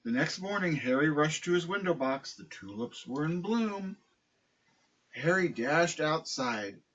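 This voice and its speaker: A man with a husky voice reading a children's picture book aloud. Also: on the high side, expressive, measured pace, a bit bright, muffled recording, a little hiss or hum. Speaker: storybook reader, dog owner.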